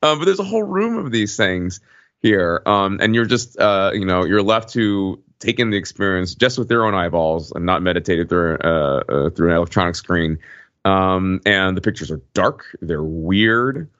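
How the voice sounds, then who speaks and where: A man co-hosting a podcast, talking casually and informally in halting bursts with many asides. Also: low-pitched, fairly bright tone, no echo, dull audio. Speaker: podcast co-host. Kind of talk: casual conversational podcast talk.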